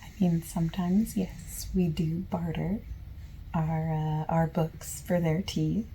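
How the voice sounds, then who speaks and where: Slow, soft-spoken woman in gentle ASMR roleplay. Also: low voice, little pitch movement, a little hiss or hum, low-quality recording. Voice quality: soft, often dropping into whispery breathiness. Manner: gentle, unhurried, reassuring customer-service talk. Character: friendly, patient used bookstore clerk. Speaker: ASMR roleplayer as used bookstore clerk.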